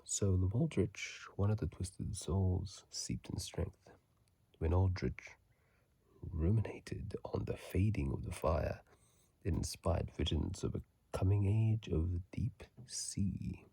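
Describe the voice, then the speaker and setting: Very low, slow whispering man, ASMR. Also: vocal fry, husky, no echo. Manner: soft whispering, close and unhurried. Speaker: ASMR video creator. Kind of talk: whispered ASMR reading with casual commentary on a video game. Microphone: phone mic, close up.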